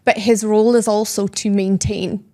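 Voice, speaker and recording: Scottish woman in her 30s, podcast guest, lively and descriptive, mid-pitched voice. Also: moderate pace. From Scotland, Speyside. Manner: enthusiastic, descriptive storyteller. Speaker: brand education guest. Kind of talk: casual podcast interview.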